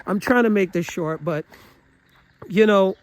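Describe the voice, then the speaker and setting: A man's voice: high-pitched, a little slow, clean tone, no room sound. A man giving an opinionated, casual vlog monologue, earnest and rambling, often restarting his sentences with fillers. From United States. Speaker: travel vlogger.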